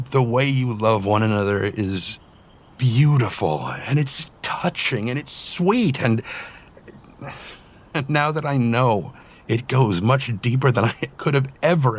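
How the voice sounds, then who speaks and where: A man playing a tender, sentimental father at a wedding in a motion comic, speaking in a heartfelt, earnest way with a husky voice. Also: on the low side. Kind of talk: dramatic motion comic voice acting.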